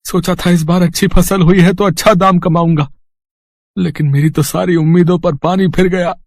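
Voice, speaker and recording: A man playing a poor, loving farmer and father, earnest and melodramatic, in an animated moral story. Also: high-pitched, moderate pace, dry recording, clean studio sound. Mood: anguished.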